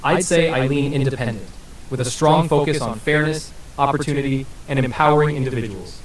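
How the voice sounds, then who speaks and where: A synthetic male voice answering as an AI interview guest, smooth and evasive with scripted-sounding replies. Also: mid-range voice, brisk. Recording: roomy and distant with faint hiss.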